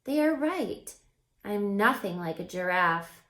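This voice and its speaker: A woman's voice: mid-range voice, lively intonation, takes it slowly, fairly bright tone, echoey room. A woman voicing a shy, kind young female unicorn in a storybook, with earnest expression. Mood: dejected.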